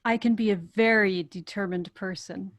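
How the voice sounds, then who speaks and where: A woman's voice: medium pitch, fairly even intonation, moderate pace, natural voice. A woman reading the part of a bold, determined, flirtatious woman in love at a script table read. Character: bold, determined woman romancing a wealthy bachelor.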